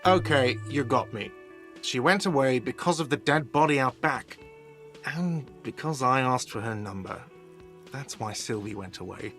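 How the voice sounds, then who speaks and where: An actor playing an irritable, guarded cafeteria manager in a video game, curt and sardonic. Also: male voice, medium pitch, animated, moderate pace, a bit bright. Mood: defensive, irritated. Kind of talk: game voice acting. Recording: music behind the voice. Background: game music.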